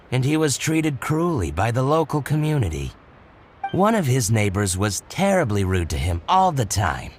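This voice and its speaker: Sly merchant, haggly and chatty, voice jumping around a lot. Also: male voice, mid-range voice. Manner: haggling, scheming, talkative. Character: shrewd adult male boutique merchant. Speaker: merchant character. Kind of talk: video game voice acting. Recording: game music bed under the voice.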